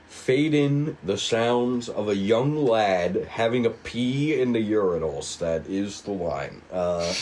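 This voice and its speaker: Male script narrator, lowish and very unhurried, dramatic yet informal, slight background noise. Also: a little mellow. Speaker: writer and narrator of a table read. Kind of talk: scripted narration of screen directions.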